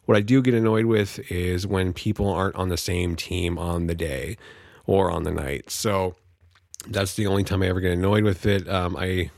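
Male podcast host, Californian accent, low gravelly voice, informal and rambling, recorded dry and close. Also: steady tone, normal speed, darkish tone, dry recording. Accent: Californian American. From California, United States. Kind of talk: casual solo podcast monologue.

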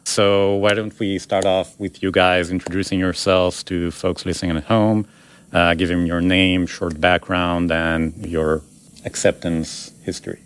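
A man's voice: deep voice, little pitch movement, unhurried, clean tone. A man hosting a business school podcast, welcoming listeners and introducing a panel. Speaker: podcast host.

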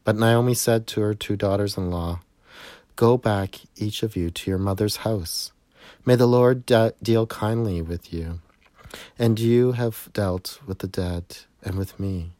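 A man reading a scripture narrative aloud in a measured way, recorded indoors in a church sanctuary. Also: fairly low pitch, mellow, dry recording. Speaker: scripture reader.

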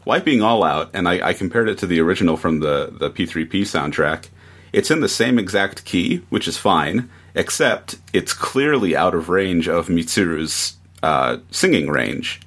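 Very deep-voiced man talking casually. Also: lively intonation, moderate pace, fairly bright tone. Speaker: guest reviewer. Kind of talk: casual podcast discussion.

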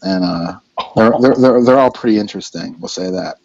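A man with a lowish voice rambles through a casual podcast interview, piling on fillers and restarts as he goes. The sound is dry and a little dull. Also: fairly quick, vocal fry, a little mellow, no echo. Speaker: band member, vocalist and lyricist.

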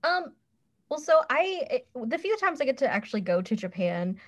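A woman's voice: sits high, sing-song, normal speed. A woman on a podcast panel chatting casually in a candid, playful, self-deprecating way.

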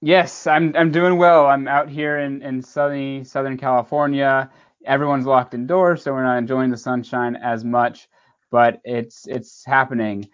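Male podcast host, casual and rambling, mid-pitched, muffled remote audio. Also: little pitch movement, measured pace, clean tone. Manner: loose, chatty, many restarts. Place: at home in Southern California.

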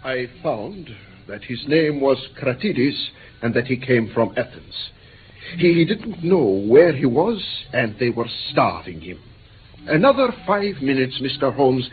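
An anxious interpreter recounting his ordeal in a radio play, with formal, precise phrasing. His pitch moves in lively sweeps, and the old recording sounds thin and tinny, with clear hiss. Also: measured pace. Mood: excited, regretful. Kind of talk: radio drama acting, animated storytelling.

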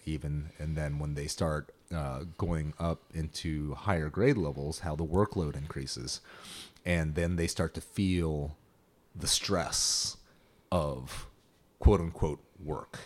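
A middle-aged man hosting a podcast, talking casually with many fillers and restarts. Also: a little mellow. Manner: rambling, hesitant, laughs into high pitch. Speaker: podcast host. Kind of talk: casual chat.

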